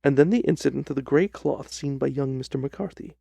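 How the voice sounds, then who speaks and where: A man narrating a first-person story from a script in a measured, literary delivery. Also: mid-range voice, vocal fry, mellow, no echo, studio quality. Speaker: audiobook narrator.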